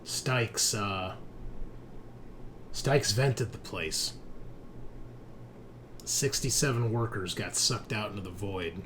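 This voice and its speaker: Man as bitter low-voiced female prisoner. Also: fairly bright tone, slight background noise. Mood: bitter, resentful. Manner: halting, grim, profane. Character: bitter, foul-mouthed female former space station manager, now prisoner. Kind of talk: tabletop game role-play.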